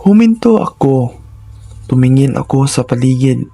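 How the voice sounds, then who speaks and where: A man's voice: medium pitch, lively intonation, unhurried, vocal fry, mellow, no room sound. A man narrating scripted first-person horror stories in a dramatic, suspenseful manner, in short phrases.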